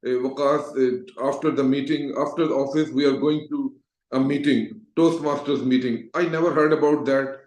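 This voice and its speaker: A man's voice: mid-range voice, steady tone, normal speed, a little mellow. A middle-aged man with a Pakistani accent, telling his own story conversationally as an interview guest. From Lahore, Pakistan. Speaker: interview guest, Toastmaster educator. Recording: echoey, roomy voice sound.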